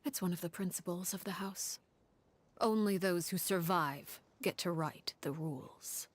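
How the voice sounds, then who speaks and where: A commanding woman of cold composure, tells dark video game lore. Her voice sits fairly low and stays hushed and breathy. Each phrase is solemn and deliberate. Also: no room sound. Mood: cold, resolute. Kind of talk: dramatic storytelling in a video game.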